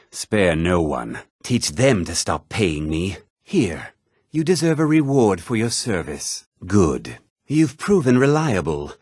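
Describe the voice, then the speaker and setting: Snooty scheming fantasy game townsman, rough husky voice, really swingy theatrical delivery. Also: on the low side, no echo, studio quality, exaggerated voice. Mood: stern, commanding, then lordly approval. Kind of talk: video game character dialogue.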